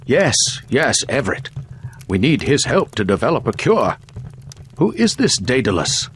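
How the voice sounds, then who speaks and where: Casting: sickly paranoid conspirator for a video game, formal delivery, varied intonation, slight noise behind. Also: male voice, medium pitch, sing-song, a little slow, a bit bright. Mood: relieved, urgent. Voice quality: prone to coughing. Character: ailing, paranoid conspirator, a weary grown man.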